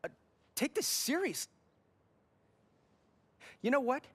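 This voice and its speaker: A young man playing an eager, excitable teenage boy sidekick in a video game. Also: very high voice, slow, slightly rough, no echo, full character voice. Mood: flustered. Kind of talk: video game cutscene acting. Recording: music under the voice.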